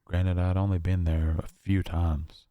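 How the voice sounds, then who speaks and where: A man playing a shaken, paranoid survivor recording a horror audio log, speaking haltingly with long pauses. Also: low voice, flat delivery, dry recording, dull audio. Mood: weary, reflective. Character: shaken, paranoid male survivor of a nightmare realm.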